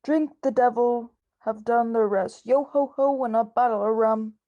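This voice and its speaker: A woman playing a gruff, bossy old male sea captain in an amateur radio drama, with salty sailor slang. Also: on the high side, fairly even intonation, moderate pace, lo-fi sound, natural voice. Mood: rowdy. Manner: nautical slang, barked orders.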